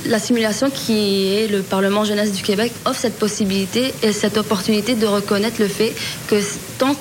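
A woman's voice: medium pitch, fairly even intonation, brisk, background noise. A young woman in her twenties answering interview questions earnestly, with many fillers and restarts. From Lebanese heritage. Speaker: student guest.

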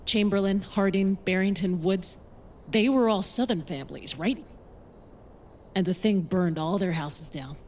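A woman's voice: mid-range voice, a little slow, a little mellow, a little hiss or hum, natural voice. A woman playing an anxious, guarded young woman with an American accent, acting and narrating in an audio drama.

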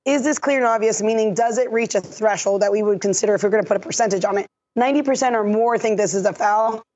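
A woman who has lost her voice, explaining refereeing decisions in a measured, technical way on a podcast. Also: medium pitch, a little mellow, dull audio. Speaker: refereeing analyst. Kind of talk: podcast discussion.

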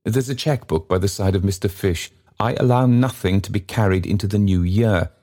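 A man voicing a pompous, stately old gentleman of self-importance in a storybook reading, halting and floundering as he speaks. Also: on the low side, lightly acted. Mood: pompous, self-important.

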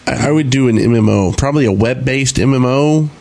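A man's voice: mid-range voice, fairly quick, vocal fry, a little mellow. A middle-aged man co-hosting a podcast in casual, joking group chat. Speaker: podcast co-host.

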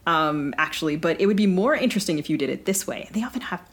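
A woman's voice: lowish voice, lively intonation, fast. A woman co-hosting a casual literary podcast, asking long, chatty, winding questions. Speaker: podcast co-host and interviewer.

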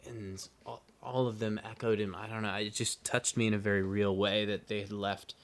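A man's voice: fairly low pitch, flat delivery, moderate pace, a bit bright. A young man, a singer-songwriter guest, telling a story in a loose, chatty way, full of "uh" and "like". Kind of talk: casual storytelling.

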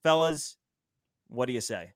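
A man's voice: mid-range voice, expressive, measured pace, dry recording. Late-20s male podcast host, loose rambling delivery, dead-dry room. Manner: rambling, many "like" fillers. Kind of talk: casual sports podcast chat.

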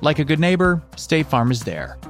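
A man reads an ad in an upbeat way. His pitch moves about a lot, and light music plays underneath. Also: big pitch swings, moderate pace. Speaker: ad announcer. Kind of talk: ad read.

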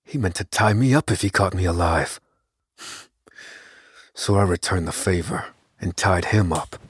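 An actor playing an unassuming, determined man who tells his own story as a secret vigilante in a measured, solemn audio drama narration. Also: sits low, slightly rough, dry recording, studio quality. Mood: tense, reflective. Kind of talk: dramatic first-person scripted narration. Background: music under the voice.

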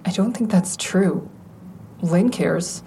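A woman's voice: lowish voice, takes it slowly, vocal fry, dark tone, a little hiss or hum. An actress in an audio drama playing a guarded, self-doubting, caring woman, reflective and hesitant in dialogue and first-person narration. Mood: concerned, self-effacing.

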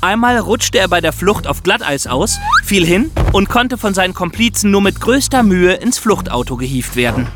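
A man's voice: sits high, big pitch swings, moderate pace, hardly any pauses, music in the background. A male podcast co-host, narrating and discussing true crime.

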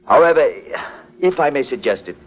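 An actor playing a polite, anxious foreign exporter in a 1940s radio detective drama, speaking formally and hesitantly. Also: male voice, fairly high pitch, expressive, a little fast, close to an everyday voice. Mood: guarded, grateful. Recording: dull old 1940s radio broadcast.

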